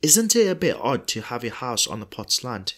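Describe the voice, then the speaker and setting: A man's voice: medium pitch, very animated, normal speed, fairly bright tone. A man acting a polite, probing detective in an audio drama. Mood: politely probing. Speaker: actor in a podcast drama.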